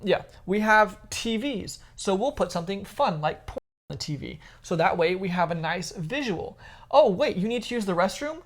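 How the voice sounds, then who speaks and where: A woman's voice: medium pitch, lively intonation, moderate pace. A woman co-hosting a podcast, chatting casually and giving opinions in an emphatic, teasing way. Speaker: podcast co-host.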